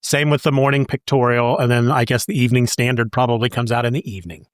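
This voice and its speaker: A man co-hosting a podcast, discussing comic books casually with an expressive, wry delivery. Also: medium pitch, quick pace, no room sound, clean studio sound. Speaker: podcast co-host.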